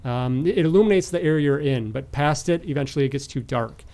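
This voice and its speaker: A man narrating as game master of a tabletop game, improvising with halting, hesitant phrasing. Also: a little high, a little mellow.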